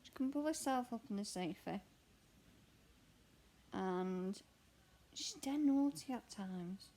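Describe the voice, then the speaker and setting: A young woman with a croaky voice, chatting candidly and rambling while she stitches at home. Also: medium pitch, lively intonation, slow pace, warm tone. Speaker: cross stitch vlogger and mother. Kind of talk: casual chat answering a question tag while stitching.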